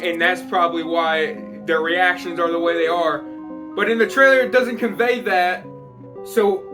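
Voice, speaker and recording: A man with a fairly high-pitched voice rambles through casual film commentary. He sounds exasperated and swears freely. Music plays clearly behind him. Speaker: video commentator.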